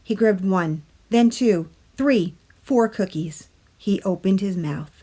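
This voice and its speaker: A woman reading a children's story aloud as a storytime narrator, with dramatic pauses and drawn-out key words. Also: mid-range voice, mellow, breathy edge. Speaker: library storytime reader. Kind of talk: expressive children's picture-book narration.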